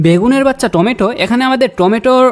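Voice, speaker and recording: A man's voice: very high voice, quick pace. A young man with a Bangladeshi accent making comedic prank phone calls in a mock-earnest, teasing way. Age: young adult. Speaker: prank-calling YouTuber. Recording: music behind the voice.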